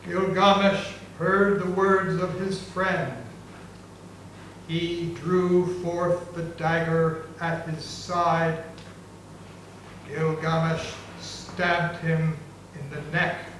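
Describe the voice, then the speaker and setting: A man narrates gravely and very slowly, keeping an even, unchanging pitch. He sounds far from the mic, in a very echoey room with clear ambience around him. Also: a little hiss or hum. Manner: very slow, level, grave storytelling. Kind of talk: theatrical dramatic reading.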